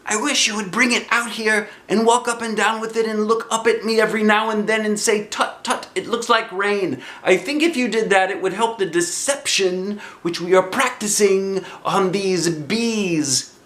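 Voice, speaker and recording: A man voicing an earnest, anxious, silly old toy bear with solemn, drawn-out phrasing in a children's storybook. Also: high-pitched, fairly bright tone, roomy sound, voice pushed up. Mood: conspiratorial, earnest. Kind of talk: children's storybook read-aloud.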